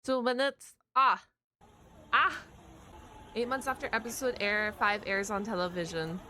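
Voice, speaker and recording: A woman hosting a reaction video, giving casual, expressive commentary and thinking aloud as she goes. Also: on the high side, unhurried, slight background noise. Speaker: YouTube reaction host.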